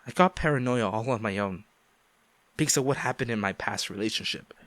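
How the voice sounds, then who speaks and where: A man acting a guilt-ridden ex-boyfriend pleading for forgiveness in an intimate audio roleplay, halting and emotional. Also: medium pitch, a bit bright, dry recording, close to an everyday voice. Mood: regretful, earnest. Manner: halting, emotional, sighing, repeats himself.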